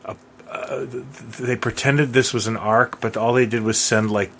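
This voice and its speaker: A man's voice: medium pitch, steady tone, normal speed, a bit bright. A man co-hosting a podcast in casual, conversational fan chat. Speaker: podcast co-host. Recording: faint background noise.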